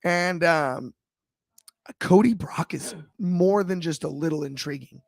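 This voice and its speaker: A man with a slightly raspy voice, chatting about wrestling on a panel show in a thoughtful, halting way with many restarts. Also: on the high side, dry recording. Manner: thoughtful, frequent restarts and fillers. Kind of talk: casual discussion.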